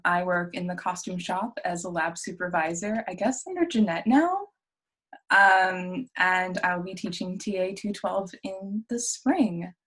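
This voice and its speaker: A woman's voice: fairly low pitch, low-quality recording. A young woman graduate student introducing herself on a video call, easygoing with some fillers. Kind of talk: self-introduction.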